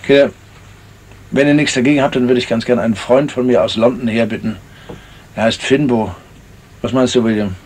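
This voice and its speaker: An actor playing a polite, cooperative gentleman in a 1970s German radio crime drama, measured and somewhat hesitant. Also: mid-range voice, fairly even intonation, breathy edge, close to an everyday voice. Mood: persuasive. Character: cooperative gentleman witness, member of a yacht party.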